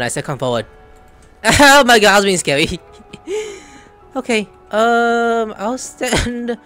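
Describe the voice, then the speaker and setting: Man, gaming streamer, lively intonation, playful reactions, casual commentary, faint game music. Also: sits high. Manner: playful, reacts aloud, reads dialogue in character. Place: indoor room, game audio behind.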